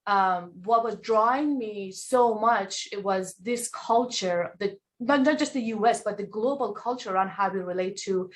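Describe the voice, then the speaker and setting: A woman's voice: mid-range voice, moderate pace, hardly any pauses. Earnest woman from Iran on a panel. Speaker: nonprofit co-founder. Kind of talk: panel talk.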